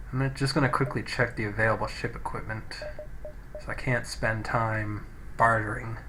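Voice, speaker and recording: Casting: male let's play gamer, mid-pitched, informal and sweary, talking through his play on a cheap, slightly noisy microphone. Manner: casual, sweary, thinking out loud. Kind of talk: casual gameplay commentary with read-out game text. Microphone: low-quality home microphone.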